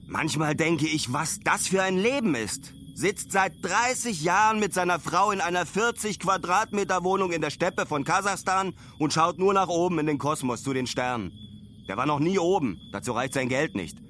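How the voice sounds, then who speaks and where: Musing traveller, higher male voice. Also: a bit bright, a little hiss or hum. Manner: colloquial, musing storytelling. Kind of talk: first-person radio play monologue.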